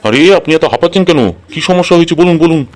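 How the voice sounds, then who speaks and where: A man's voice: a little high, racing, darkish tone, lightly acted. A man playing an exasperated, money-minded doctor in a comic cartoon, with a blunt, scolding, colloquial way of talking. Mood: reassuring. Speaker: cartoon voice actor. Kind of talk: comic Bengali cartoon dialogue.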